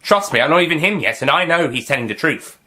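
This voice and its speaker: A person voicing a calm, smug male time-traveling hero in an amateur story. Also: mid-range voice, fast, voice pushed up.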